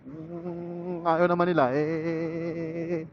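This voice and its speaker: A man's voice: fairly high pitch, monotone, very slow. A Filipino man casually vlogging to himself while riding, in a laid-back, musing way, speaking into a helmet intercom. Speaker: motorcycle vlogger. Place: outdoors, riding a motorcycle on a city road. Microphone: motorcycle helmet intercom mic. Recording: dull helmet intercom audio, faint road noise.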